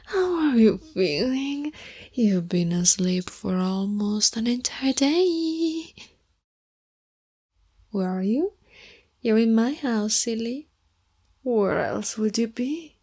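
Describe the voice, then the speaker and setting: A woman playing an obsessive, sweetly menacing goth girlfriend in an ASMR roleplay, soft, cooing and possessive, often dropping into whispers. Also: fairly high pitch, expressive, slow pace, pauses often, husky, heavily acted. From Italy.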